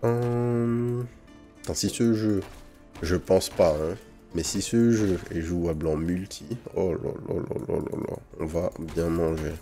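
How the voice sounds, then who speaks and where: A man's voice: deep voice, slow, dark tone. A man from French Guiana giving casual first-impression commentary on a video game, in informal slang sprinkled with English gaming terms. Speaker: gaming channel host. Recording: music behind the voice. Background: game music.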